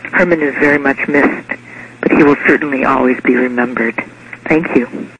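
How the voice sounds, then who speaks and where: A man's voice: mid-range voice, steady tone, normal speed, fairly bright tone. A man reading out a prepared personal story. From Indiana, United States. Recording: muffled, noisy radio-like recording.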